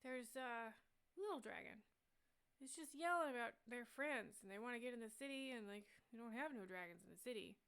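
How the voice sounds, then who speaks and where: A woman's voice: on the high side, a bit bright, clean tone, voice pushed up. A woman voicing a dim-witted, chatty male city guard who halts and stumbles over his answers. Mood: muddled. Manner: halting, unsure.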